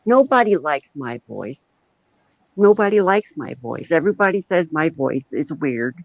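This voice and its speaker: A man's voice: on the high side, very animated, normal speed, creaky. A middle-aged man chatting casually on a live show in a self-deprecating, rambling way. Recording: dull lo-fi audio with roomy echo.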